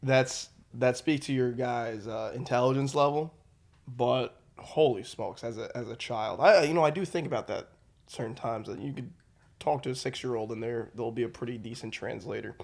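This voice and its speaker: A man's voice: medium pitch, moderate pace, vocal fry. Easygoing young man chatting as a guest. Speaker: podcast guest. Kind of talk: casual chat.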